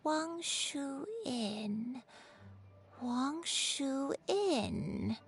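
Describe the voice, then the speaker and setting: Gentle elderly grandmother, forgetful and musing, unhurried game performance. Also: sits high, animated, full character voice. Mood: dreamy. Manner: halting, musing, repeats herself. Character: gentle, forgetful old granny, lost in memories. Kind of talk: video game acting.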